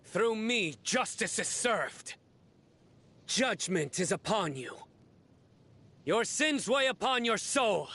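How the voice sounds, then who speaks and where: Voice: mid-range voice, expressive, slow, pauses often, fairly bright tone, full character voice. An actor voicing a stern, righteous warrior judge in an anime game, with solemn, clipped, declamatory lines. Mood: fierce, righteous.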